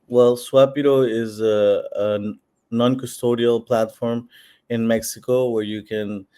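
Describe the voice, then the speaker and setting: A man's voice: medium pitch, fairly even intonation, slow pace, dark tone, smooth. A man from Peru, a company founder answering interview questions in an explanatory way with many fillers and restarts. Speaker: founder of a bitcoin platform.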